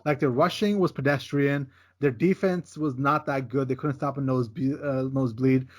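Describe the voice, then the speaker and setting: A man's voice: mid-range voice, fairly even intonation, moderate pace. A man co-hosting a sports podcast, giving emphatic, casual takes on football games and repeating "listen, man" as he goes. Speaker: podcast co-host. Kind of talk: casual sports betting chat.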